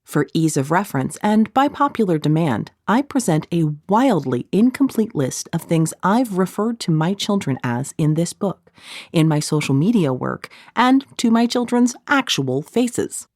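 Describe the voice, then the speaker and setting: A woman narrates an audiobook in a slightly low voice that swings widely in pitch. Her reading is scripted yet conversational, full of wry, snarky asides. The sound is dry and close, with very little room in it. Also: very animated, a little slow, a little mellow, no echo. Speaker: author reading own audiobook.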